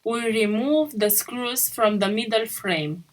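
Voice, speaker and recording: A woman's voice: a little high, slow pace. A woman reading a scripted instructional narration as a tutorial narrator, in short step-by-step statements. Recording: clearly noisy track with clicks.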